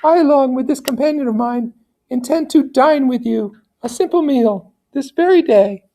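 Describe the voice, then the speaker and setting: Guy putting on a really high lady voice, loving noble mom in a storybook, formal and courteous. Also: moderate pace, mellow. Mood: gracious. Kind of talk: storybook character reading.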